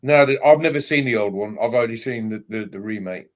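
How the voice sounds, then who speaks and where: A man's voice: medium pitch, fairly quick, lo-fi sound, crowd noise. A man chatting casually as a livestream guest, swearing freely and often repeating himself. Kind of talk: casual chat.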